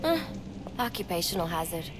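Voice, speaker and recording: A woman's voice: on the low side, big pitch swings, brisk. An actress playing a guarded, tough woman in a video game, curt and flippant. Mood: defensive, dry. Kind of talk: dramatic video game acting. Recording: noisy ambience behind.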